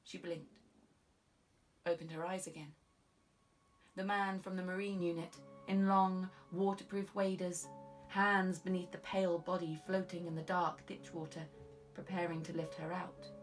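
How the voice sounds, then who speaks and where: A woman's voice: lowish voice, level tone, measured pace, distant mic, soft background music. A young British woman reading scripted literary narration of a crime novel as an audiobook narrator in a small recording booth. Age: young adult. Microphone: studio condenser with pop filter, side-on.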